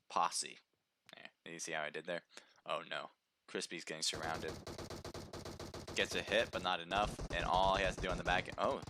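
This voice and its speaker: A man giving chatty play-by-play commentary on a live mobile game match, his voice slightly hoarse from a sore throat. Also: deep voice, noisy background. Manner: chatty, upbeat, reads viewer names aloud.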